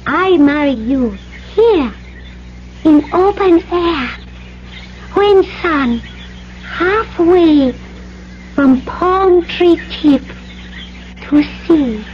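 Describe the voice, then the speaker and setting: Very high-voiced, slow Polynesian island girl. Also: expressive, heavily acted. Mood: pleading, proud. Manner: broken English, short simple phrases. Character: young Polynesian island woman, earnest and proud. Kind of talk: radio drama acting. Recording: dull old radio recording, faint noise.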